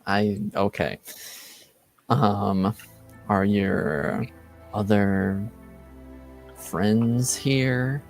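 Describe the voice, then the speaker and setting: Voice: deep voice, extremely slow, vocal fry, long pauses, a little mellow, exaggerated voice. A game master playing a polite, awkward Templar guard in a tabletop game, hesitant and understated. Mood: hesitant concern. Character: polite, awkward armored male Templar guard, wary boyfriend. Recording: music playing behind the voice.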